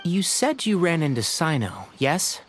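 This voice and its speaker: A man voicing a calm, polite young male ranger-scholar in a video game, speaking in a measured way. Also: a little high, slight background noise. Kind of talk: game voice acting.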